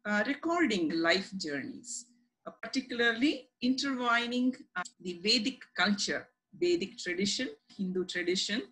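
A woman's voice: medium pitch, a bit bright, poor audio. Middle-aged woman hosting a video interview. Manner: gracious, frequent fillers. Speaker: host. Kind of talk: interview introduction. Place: home room, indoors. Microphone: video call.

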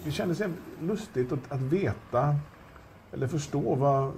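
A man's voice: on the high side, gravelly, a little mellow, a little hiss or hum. A man casually chatting as an auction presenter, rambling with many restarts and fillers. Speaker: auction specialist presenting a car. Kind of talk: casual chat.

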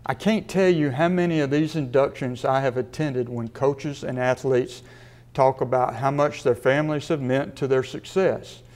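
A man in his sixties from Mississippi, a sports writer delivering a prepared acceptance speech in a measured way with a dry wit. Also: mid-range voice, a little mellow. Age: 60s. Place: studio with a sponsor backdrop.

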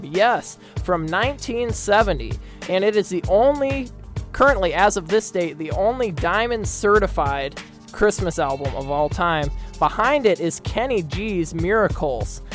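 A young man hosting as a college radio DJ in an upbeat broadcast style. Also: high-pitched. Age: young adult. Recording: music bed behind the voice.

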